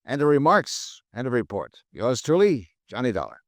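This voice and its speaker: A man's voice: medium pitch, wildly swooping pitch, normal speed, no room sound. An actor playing a cool, dry male insurance investigator in a 1950s radio drama, asking terse, clipped questions. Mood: wry.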